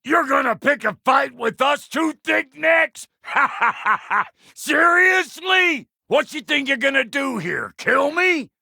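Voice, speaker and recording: A foul-mouthed dwarf blacksmith from a video game, gruff and gravelly with a twang. The voice is forceful and high, swinging widely in pitch. The manner is brash, folksy and combative. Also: male voice, big pitch swings, takes it slowly, no echo, clean studio sound, full character voice. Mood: belligerent. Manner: brash, folksy, cussing, barking warnings and taunts. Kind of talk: video game character voice lines.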